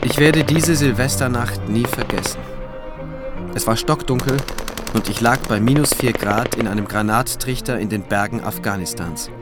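Actor as measured male narrator over music. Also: mid-range voice, lightly acted. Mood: reflective, wry. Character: forty-year-old cautious male prosecutor telling his own story. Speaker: narrator in a radio thriller. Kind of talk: scripted first-person radio drama narration. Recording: music behind the voice.

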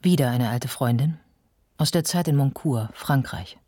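A man acting as the sober narrator of a radio drama, with measured, literary, matter-of-fact delivery. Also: medium pitch, noisy background, close to an everyday voice. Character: sober male narrator observing an ageing writer's life.

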